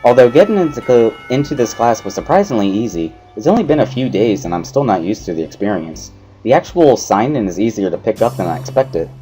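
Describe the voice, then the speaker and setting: Casting: male let's play narrator, expressive first-person story reading over music. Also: on the low side. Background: background music.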